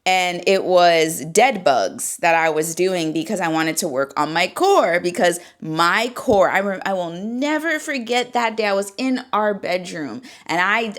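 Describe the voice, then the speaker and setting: A woman's voice: on the low side, fairly bright tone. A woman in her thirties, a yoga teacher, talking casually and candidly to camera from a seat indoors, with loose phrasing and mild swearing. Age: 30s. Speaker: yoga teacher and fitness vlogger. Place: seated indoors.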